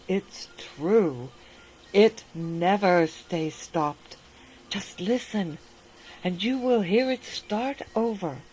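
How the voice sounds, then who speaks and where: A woman reading a rhyming children's story aloud in a gentle, sing-song manner. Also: medium pitch, slight background noise, rough recording. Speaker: narrator.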